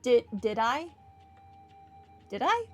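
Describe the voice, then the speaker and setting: A woman's voice: a little high, sing-song, slow pace, fairly bright tone, soft background music. A woman hosting a casual game playthrough, rambling along in a self-deprecating way. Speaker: gaming host. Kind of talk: casual playthrough commentary, reading dialogue aloud.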